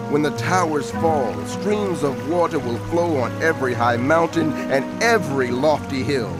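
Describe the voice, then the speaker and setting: An actor playing a prophet who narrates dramatized scripture in a measured, earnest, proclaiming way. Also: male voice, on the high side, natural voice. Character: prophet narrating, earnest male herald of God.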